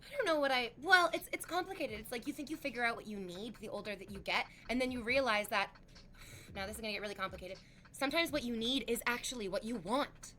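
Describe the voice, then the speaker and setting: Rambling streamer woman with a high voice. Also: fast, pushed and bright, slight background noise. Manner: rambling, frank, heavy use of "like". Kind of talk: casual chat with live chat.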